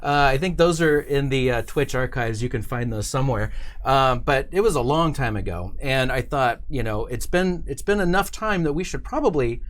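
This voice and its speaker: A man's voice: mid-range voice, expressive, moderate pace. A man hosting a casual live-streamed interview in a studio, talking in an informal, chatty way. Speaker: show host.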